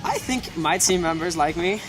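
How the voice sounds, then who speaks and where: A man's voice: on the high side, animated, normal speed, poor audio. Dry tongue-in-cheek young man. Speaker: team leader. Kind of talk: mock-grandiose testimonial. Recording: loud music underneath.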